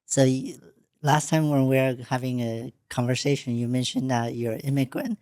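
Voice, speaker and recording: Halting immigrant woman hosting a studio interview. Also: extremely low pitch, dark tone, no echo. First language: not English. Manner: halting, restarts often, plain unpolished English. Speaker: podcast host. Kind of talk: casual interview.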